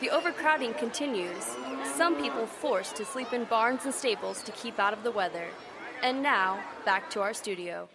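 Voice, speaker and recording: Carla Phillips, a woman playing a brisk field reporter. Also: a little high, natural voice. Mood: reporting. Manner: brisk, newsy delivery. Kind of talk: mock live news report. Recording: loud crowd and street noise.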